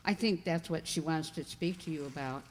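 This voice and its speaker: A woman's voice: sits low, fairly quick. A woman playing a brusque, gossipy and disapproving village landlady in an amateur radio drama. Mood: matter-of-fact. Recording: long hall-like reverb.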